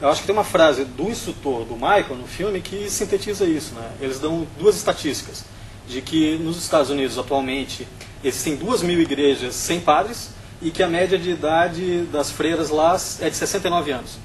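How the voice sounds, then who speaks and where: A Brazilian man on a film review panel, explaining his views in a steady, analytical way. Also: on the high side, normal speed, slight background noise, rough recording.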